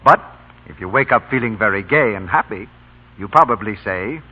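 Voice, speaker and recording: Casting: male old-time radio announcer, very animated sales delivery, tinny narrow broadcast sound. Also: on the low side, normal speed, slight background noise. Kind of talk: scripted 1930s-40s radio commercial announcing.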